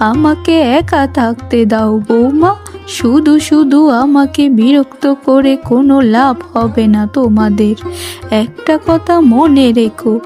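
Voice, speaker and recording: Woman playing a slow, stern old mother-in-law. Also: sits high, mellow, faint music behind. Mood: stern, admonishing. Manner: deliberate, admonishing. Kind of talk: cartoon voice acting.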